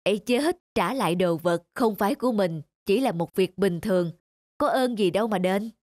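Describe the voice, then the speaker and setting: A woman voicing a humble, principled middle-aged peasant man, earnest and moralizing, in an animated folk tale. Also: medium pitch, normal speed, no room sound, clean studio sound, close to an everyday voice. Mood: earnest, firm. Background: music.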